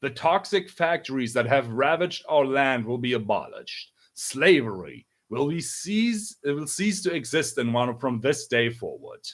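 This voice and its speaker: A man's voice: mid-range voice, big pitch swings, normal speed, hardly any pauses. A man reading as an earnest, resolute boy shogun, speaking in formal, declamatory speeches.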